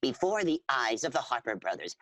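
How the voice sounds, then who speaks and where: A woman playing an eager alien storyteller who narrates adventure formally and dramatically, with a husky voice and spoken sound effects. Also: extremely low pitch, very animated, a little fast, heavy background noise. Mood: dramatic. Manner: formal, repeats full names instead of pronouns.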